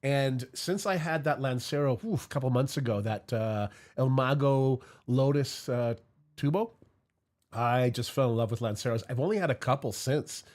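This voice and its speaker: A man's voice: mid-range voice, moderate pace, darkish tone. Congested male host, chatty and rambling, casual livestream delivery. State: congested from a cold. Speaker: cigar review host.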